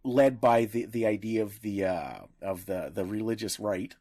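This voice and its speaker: A man co-hosting a casual discussion, talking haltingly, often searching for words and rephrasing as he goes. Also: fairly low pitch, mellow. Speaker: podcast co-host.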